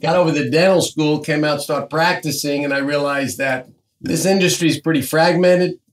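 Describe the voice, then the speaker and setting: A man's voice: a little high, steady tone, normal speed, roomy sound, far from the mic. A man in his fifties, a prosthodontist, telling his story as a podcast guest. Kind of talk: podcast interview.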